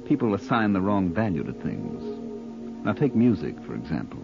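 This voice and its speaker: An American actor playing a cool, cultured hired killer in an old radio crime drama, unhurried, musing and dryly polite. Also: male voice, sits low, sing-song, vocal fry, music in the background, full character voice. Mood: aloof, musing. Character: cool, cultured hired killer who loves classical music. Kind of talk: 1940s-50s radio crime drama acting. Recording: dull old-time radio recording.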